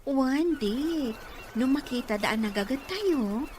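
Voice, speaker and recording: A woman's voice: sits high, lively intonation, slow, background noise. A woman playing an industrious middle-aged aunt, anxious to please, in an Ilocano radio drama. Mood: busy, earnest.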